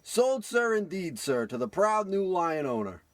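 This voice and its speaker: A man's voice: high voice, big pitch swings, a little slow, dry recording. A man playing a brash, scheming small-time American huckster with slangy old-timey patter in a parody radio play. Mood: salesman patter.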